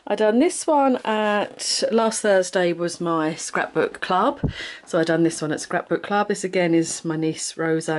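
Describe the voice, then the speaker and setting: A woman in her forties with a sore throat and a sniffly cold, chatting casually in a vlog from her home craft room, rambling and restarting as she shows her work. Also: low-pitched. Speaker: craft vlogger. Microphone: camera close to face, then pointed down at the desk.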